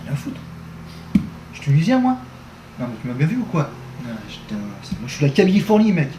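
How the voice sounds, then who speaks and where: A man's voice: on the high side, sing-song, noisy background. A man in his thirties playing a boastful, touchy businessman who snaps back brashly. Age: 30s. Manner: brash, quick to snap.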